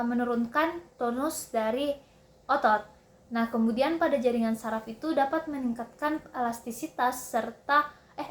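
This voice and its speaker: A young woman giving a prepared instructional presentation as a student, haltingly, often repeating and correcting words. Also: on the high side. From Indonesia. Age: young adult. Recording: roomy, echoing indoor sound.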